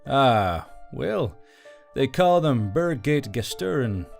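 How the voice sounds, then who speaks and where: A man plays a shifty, cautious intelligence officer in an audio story. He explains wryly and hedges, in a medium-pitched voice over faint music. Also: sing-song, slow, gravelly, full character voice. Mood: defensive.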